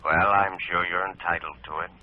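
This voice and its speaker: Deep-voiced, menacing small-town boss played by a man. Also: steady tone, lightly acted. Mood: veiled menace. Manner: slow, curt, veiled threats. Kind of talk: 1950s radio drama acting. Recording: muffled old radio recording, noisy.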